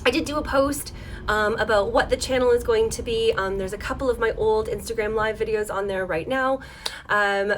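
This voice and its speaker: Woman, casual livestream chat, rambling, apologetic, filler-heavy, slightly hoarse, home desk. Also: fairly high pitch, a little hiss or hum. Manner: rambling, apologetic, many ums. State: losing her voice. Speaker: dice maker hosting a live tutorial.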